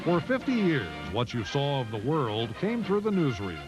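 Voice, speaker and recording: A man's voice: mid-range voice, very animated, moderate pace. A man with an American accent delivering scripted documentary narration as a reporter. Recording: loud music bed behind the voice. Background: music.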